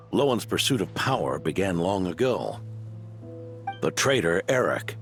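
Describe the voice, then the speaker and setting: Over game music, an aging knight with a low, very animated voice. He is kindly but self-reproachful, speaking in a measured, reflective way, like someone weary of old regrets. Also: vocal fry, heavily acted. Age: older. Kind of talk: video game voice acting.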